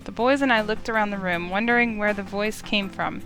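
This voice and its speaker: A woman reading a visual novel aloud as expressive first-person narration. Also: medium pitch, a little fast, soft background music.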